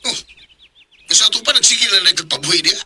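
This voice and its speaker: An actor playing a blunt, scolding older brother in a Bisaya radio drama, with a Cebuano Filipino accent. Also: male voice, sits high, lively intonation, fairly quick, background noise.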